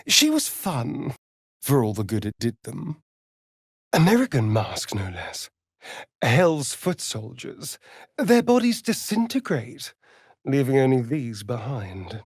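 An actor playing a sardonic, flirtatious vampire elf rogue in a video game, with an arch, droll, theatrical delivery. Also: male voice, mid-range voice, sing-song, slow pace, long pauses, husky, no room sound, clean studio sound, exaggerated voice. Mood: wry, musing. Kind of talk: video game character voice lines.

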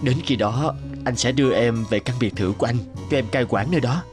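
A man voicing a wealthy company director in a cartoon, smooth-talking, patronising and dismissive. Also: medium pitch, fairly quick, close to an everyday voice. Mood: suave. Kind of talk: Vietnamese cartoon dubbing. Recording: guitar music under the voice.

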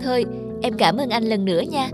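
A woman's voice: a little high, lively intonation, fairly quick, close to an everyday voice. Cartoon heroine, female actor: young office woman, modest, courteous, music behind the voice. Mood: grateful. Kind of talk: animated romantic drama acting.

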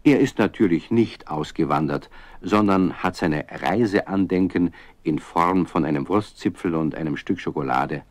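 A man's voice: low-pitched, moderate pace, dry recording. A man narrating a children's radio story in an avuncular, understanding manner, speaking directly to young listeners. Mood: gentle, explaining.

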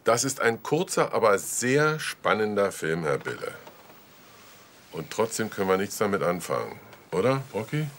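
Probing detective, lively inflection. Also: male voice, lowish voice, normal speed, a little hiss or hum. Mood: dryly pressing. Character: male police detective, probing, dryly sardonic. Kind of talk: TV crime drama dialogue.

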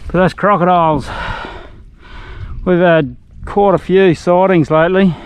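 A man's voice: high-pitched, fairly even intonation, a little slow, clear, resonant tone, dry recording. A man with an Australian accent talking casually to an action camera while fishing from a small boat in a creek, chatty and swearing now and then. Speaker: fishing vlogger. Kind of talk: casual outdoor narration to camera.

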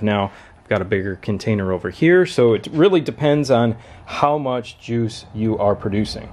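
Guy in his 30s walking thru a homestead how-to, calm and practical, lapel mic, mid-pitched voice. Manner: steady, practical explaining straight to camera. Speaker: homesteading channel host. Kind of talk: instructional how-to vlog. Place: home workshop barn, then a kitchen, indoors. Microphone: clip-on lapel mic.

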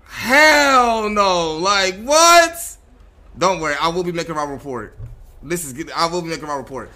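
A man's voice: sits high, expressive, moderate pace, pushed and bright. An American man reacting to a game on a livestream, incredulous and profane, often repeating himself. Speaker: game streamer. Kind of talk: livestream gameplay reaction, roleplay.

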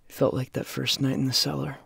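A man's voice: mid-range voice, little pitch movement, fast talker, creaky, fairly bright tone. A young man acting as a weary, reflective survivor of a post-apocalyptic world in an audio drama. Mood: somber, reflective.

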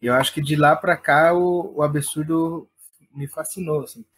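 Sao guy, kinda high voice, keen and informal interview chat, bit of background noise. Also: steady tone, normal speed, a bit bright. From Brazil. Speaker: guest, playwright and theatre director. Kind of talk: casual interview talk.

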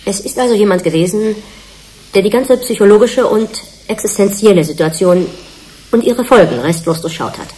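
An actress playing a probing, candid research team member in a German radio play, pointed and articulate. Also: female voice, mid-range voice, animated, normal speed, darkish tone, natural voice, rough recording.

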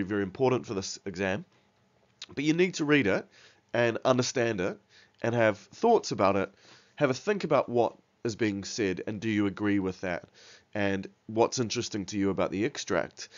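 Man with a cold, nasal and low. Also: muffled recording. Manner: many fillers like um and uh, restarts. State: has a cold, somewhat nasal. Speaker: teacher. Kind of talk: exam revision lesson over slides. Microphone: voice-over on slides.

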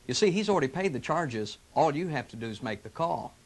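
A middle-aged man, a pastor, speaking in an earnest, gentle, inviting tone in scripted television ads from the 1980s. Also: a little high, lively intonation, fairly quick. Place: studio sets and church rooms, indoors. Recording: 1980s local TV ad audio.

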